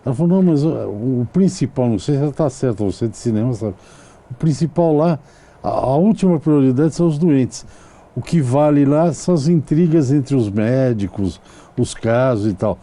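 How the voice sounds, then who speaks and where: A male television host with a very dark, mellow voice whose pitch swings widely as he talks. He asks long, meandering questions full of asides. It is a casual studio interview. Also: expressive, normal speed. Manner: rambling, digressive questions.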